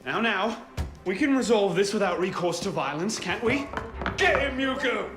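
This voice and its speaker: An actor playing a cheeky, quick-witted young Frenchman with a glib, sardonic manner in a video game cutscene. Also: high voice. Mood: taunting. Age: young adult. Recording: game soundtrack music and street noise.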